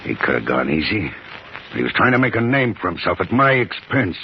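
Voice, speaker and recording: Vengeful gangster boss, swinging intonation, bossy slang, 1950s radio drama, muffled noisy old recording. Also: male voice, low-pitched, big pitch swings, fairly quick, creaky, heavily acted. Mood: bitter, menacing. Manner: tough, slangy, domineering.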